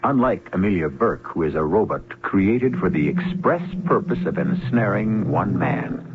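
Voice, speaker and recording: A man hosting a radio drama, narrating from a script in a theatrical, measured, sardonic manner. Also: low voice, lively intonation, narrow, tinny audio, slight background noise. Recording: band-limited old radio broadcast, music behind.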